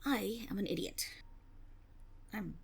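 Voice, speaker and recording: A woman's voice: medium pitch, slow, gravelly, husky, full character voice. A woman playing a flustered young tiefling rogue in a fantasy audio roleplay, stammering and blustering, cocky on the surface but unsure underneath. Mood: confused, dejected. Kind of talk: fantasy roleplay audio drama, one-sided dialogue.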